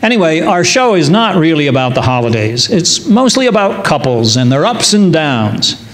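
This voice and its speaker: A man's voice: fairly high pitch, very animated, moderate pace. A man introducing a radio-style stage show as its announcer. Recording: faint background noise.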